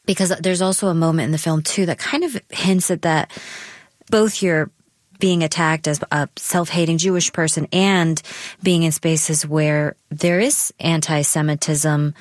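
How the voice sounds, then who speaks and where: An American woman works as a news host and interviewer. Her voice sits low for a woman. The sound is dry and studio-like, suited to broadcast narration. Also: fairly even intonation, a little slow, dry recording.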